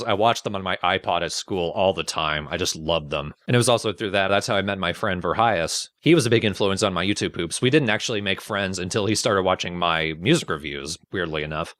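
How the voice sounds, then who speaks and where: A man with a low voice speaks in a dry, close recording with no room sound. He talks informally and a little self-deprecatingly, like a video creator addressing regular viewers. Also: fairly bright tone, no room sound. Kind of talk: casual personal reflective talk.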